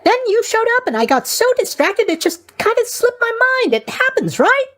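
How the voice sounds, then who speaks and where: A man's voice: extremely high pitch, very animated, normal speed, fairly bright tone, exaggerated voice. A man voicing a chatty, eager-to-please young god of sleep in a video game, rambling along with bubbly asides. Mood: cheerful. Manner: rambling, bubbly, hesitant asides, tag questions. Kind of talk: video game character voice acting.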